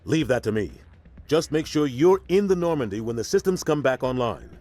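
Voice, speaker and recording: An actor playing a seasoned, resolute military captain in a video game, speaking firmly. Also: male voice, medium pitch, very animated, normal speed, darkish tone, soft background music, lightly acted. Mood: determined. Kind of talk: video game cutscene acting.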